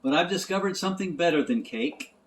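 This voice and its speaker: A man voicing a small, gentle, cake-loving mole in a storybook, speaking in an earnest, encouraging way. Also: fairly high pitch, expressive, brisk, pitched above the performer's own voice, close to an everyday voice, low-quality recording.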